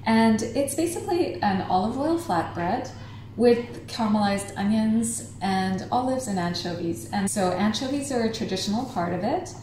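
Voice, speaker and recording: A woman with a Canadian accent, a cooking teacher calmly explaining local food and a recipe step by step. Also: mid-range voice, slight background noise. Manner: friendly, informative, pointing things out as she goes. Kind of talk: relaxed explanatory demonstration. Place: outdoor market, then a cooking school kitchen.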